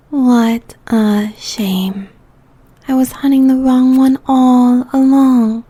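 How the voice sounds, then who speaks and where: A woman's voice: high voice, steady tone, mellow, exaggerated voice. A British woman playing a sinister, predatory spirit guide in a comic audio drama, with a menacing drawl and darkly amused manner. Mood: sly, taunting. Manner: slow, menacing, breathy drawl with whispered phrases.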